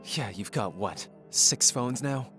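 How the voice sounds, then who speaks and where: An actor playing a worried, needling grown son in a modern audio drama. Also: male voice, mid-range voice, lively intonation, slow, pushed and bright, soft background music.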